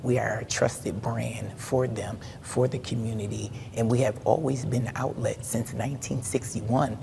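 A woman's voice: a bass voice, fairly even intonation, normal speed, gravelly. A middle-aged woman who owns a radio station, answering interview questions in an upbeat, proud way. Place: indoor exhibit hall. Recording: hall reverb, faint noise.

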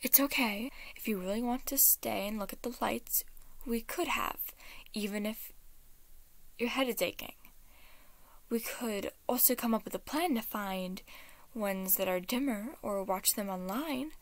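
A woman voicing a caring young man who reassures his friend in a calm, kind way. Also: a little high, measured pace, no echo. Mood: reassuring.

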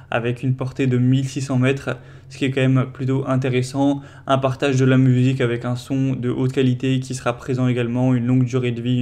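A man's voice: mid-range voice, darkish tone. A man presenting a product comparison as a casual voice-over, explaining things in a conversational, loosely scripted way.

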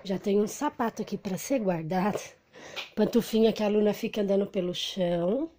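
A woman's voice: mid-range voice, moderate pace, dark tone. A woman in her thirties from Brazil chatting casually to the camera in a home vlog, filming on a phone held at arm's length indoors. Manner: chatty, talks straight to the camera. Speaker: vlogger. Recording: noisy phone recording.

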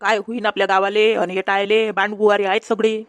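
A woman voicing a grumbling, sharp-tongued village mother-in-law in a comedy cartoon, with a Varhadi Marathi accent. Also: medium pitch, little pitch movement, brisk, smooth, no echo, lightly acted. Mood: gossipy, resentful. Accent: Varhadi Marathi from Vidarbha. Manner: griping, gossipy, insulting. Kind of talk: comedy cartoon dialogue in rural dialect.

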